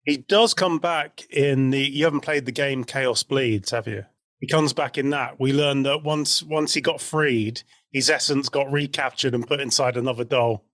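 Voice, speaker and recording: Man chatting casually, medium pitch, dry sound. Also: normal speed, a bit bright, dry recording. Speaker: podcast co-host. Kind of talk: casual chat about a TV show.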